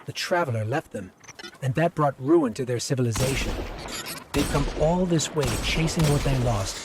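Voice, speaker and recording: A man's voice: medium pitch, animated, moderate pace, heavy background noise, lightly acted. A man voicing a small, earnest, helpful robot companion in a video game, precise and encouraging. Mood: solemn. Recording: loud music behind.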